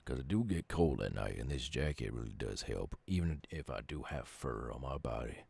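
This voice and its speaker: Man voicing a very deep, gravelly Southern werewolf. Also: expressive, normal speed, a little mellow, no echo, full character voice. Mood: grateful, earnest. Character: gruff, lonely, gentle Southern male werewolf. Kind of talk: ASMR audio roleplay.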